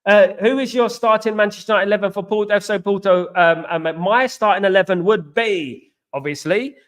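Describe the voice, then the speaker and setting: Male stream host, high voice, loose repetitive chat reading out viewer comments. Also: a little fast. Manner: chatty, repeats himself, shouts out viewers. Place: China.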